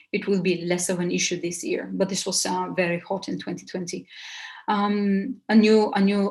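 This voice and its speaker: A woman's voice: lowish voice, a little mellow. Hesitant woman presenter. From Bulgaria. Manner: hesitant, halting delivery with many pauses. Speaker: seminar presenter. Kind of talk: informal slide presentation.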